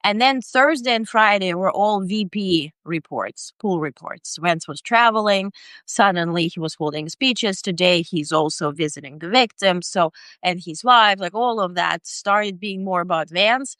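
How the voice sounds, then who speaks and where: A middle-aged woman from Ukraine, speaking English as a second language, with a low and smooth voice. She talks as a guest on a video call and pauses often on fillers. The sound is dry and close. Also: a bit bright, no room sound. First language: Ukrainian or Russian. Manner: hesitant, many fillers. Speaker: independent journalist, guest. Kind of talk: interview conversation.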